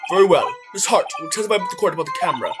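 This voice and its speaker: A woman's voice: lowish voice, expressive, rapid-fire, lightly acted. A woman voicing a formal, authoritative older male courtroom judge in an amateur game fandub. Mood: stern. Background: loud game music.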